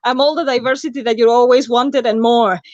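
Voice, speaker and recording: Chatty woman on a video call, high lively voice, dull dry audio. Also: a little fast, no room sound. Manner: laughs often, chatty and emphatic. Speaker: host, writer. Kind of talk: casual livestream chat.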